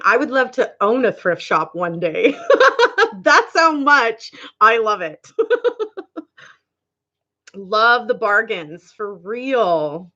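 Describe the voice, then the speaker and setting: A woman's voice: fairly high pitch, pushed and bright, dull audio. A woman of about forty with a Canadian accent, chatting casually and affectionately with viewers on a livestream in a giggly, chatty way. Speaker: livestream host.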